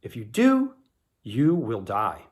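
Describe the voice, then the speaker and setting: A man's voice: medium pitch, huge pitch swings, slow pace, husky, full character voice. A man voicing an earnest, defensive woman in a Bible story, explaining herself plainly.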